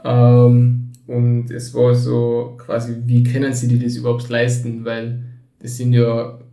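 A young man in his twenties with a Tyrolean accent, talking in a casual, reflective way and often pausing on fillers. His voice is mellow and gravelly, with little pitch movement. He sounds a bit distant from the mic, in a roomy echo. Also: monotone, soft background music. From born in Turkey, raised in Tyrol. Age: 20s. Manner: reflective, hesitant with many fillers. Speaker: guest. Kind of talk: casual conversation.

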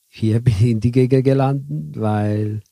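A man from southern Italy speaking German with an Italian accent, telling his story haltingly as an interview guest. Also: medium pitch, steady tone, creaky, dark tone, no echo. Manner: halting, broken German with many fillers. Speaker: guest, bus driver. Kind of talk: casual interview chat.

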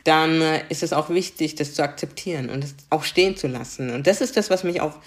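A woman's voice: low-pitched, brisk. A young adult woman from Karlsruhe, a diversity coach, explaining things earnestly in a conversational interview and often adding a questioning "ne?". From Germany, Karlsruhe. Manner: earnest, explanatory, frequent "ne?" tags.

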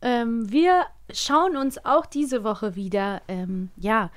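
A woman's voice: fairly high pitch, takes it slowly, smooth, no echo. A woman co-hosting a casual podcast, chatting playfully and laughing often. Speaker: podcast co-host. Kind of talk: casual chat.

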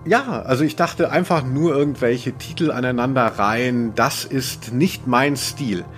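A man's voice: medium pitch, unhurried, soft background music. A man hosting a podcast quiz in casual chat, teasing and playfully theatrical as he poses questions. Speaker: podcast host and quizmaster.

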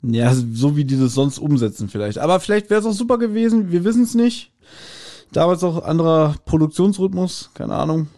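A man's voice: on the high side, lively intonation, normal speed, warm tone. A man co-hosting a podcast, recapping a story in casual, conversational talk and often checking for agreement. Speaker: podcast co-host. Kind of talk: casual chat recapping an audio drama.

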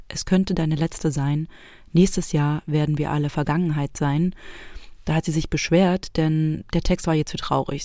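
A woman's voice: deep voice, warm tone, dry recording, studio quality. A woman reading scripted narration as the narrator of a homemade audio play.

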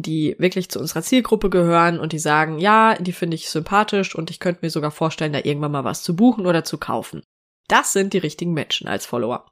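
A woman hosts her own podcast on social media, her voice sitting slightly low and a little nasal from a cold. She talks calmly and reassuringly, addressing the listener directly. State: has a cold, speaks a bit through the nose. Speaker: podcast host. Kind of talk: solo podcast talk on social media marketing.

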